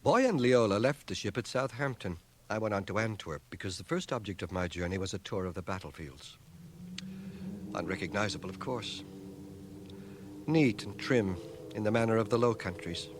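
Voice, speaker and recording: Actor as wry, low-voiced schoolmaster narrator. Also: male voice, slight background noise, close to an everyday voice. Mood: dry, detached. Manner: dry, literate, measured irony. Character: wry, learned schoolmaster, a wounded veteran narrating his life. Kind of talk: dramatized first-person radio narration. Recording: 1980 radio drama cassette transfer.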